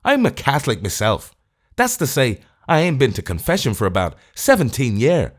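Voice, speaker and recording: A man's voice: medium pitch, wildly swooping pitch, normal speed, pitched above the performer's own voice. A man voicing a self-pitying, middle-aged Irish tramp who whines in an Irish accent. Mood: whining.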